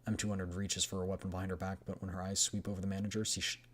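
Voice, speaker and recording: Game streamer: low voice, story narration plus casual asides. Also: flat delivery, rapid-fire.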